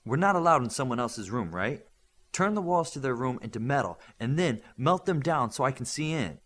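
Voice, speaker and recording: A man reading the part of an excitable, confident, creative girl with powers in an audiobook, eager and bossy. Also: mid-range voice, moderate pace. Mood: persuasive.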